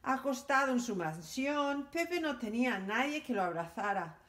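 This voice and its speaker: A woman reading a children's picture book aloud for library storytime, in an animated, sing-song storytelling manner. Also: sits high, moderate pace.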